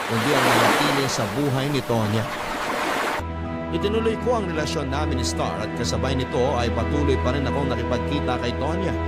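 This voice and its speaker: A man narrating a scripted, dramatic first-person love story as a confession. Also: mid-range voice. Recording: loud music bed under the voice. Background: loud background music.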